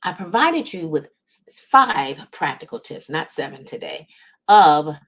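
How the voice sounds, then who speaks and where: Low-pitched woman giving motivational coaching. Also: very animated, unhurried. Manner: emphatic, encouraging, often checks in with "right?" and "okay?". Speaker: business coach and series host. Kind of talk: motivational coaching talk to camera.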